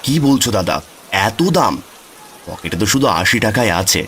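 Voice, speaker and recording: A man's voice: lowish voice, lively intonation, moderate pace, fairly bright tone. A man voicing a poor, mild village father in a cartoon, folksy in manner. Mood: curious, dismayed. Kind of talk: cartoon voice acting.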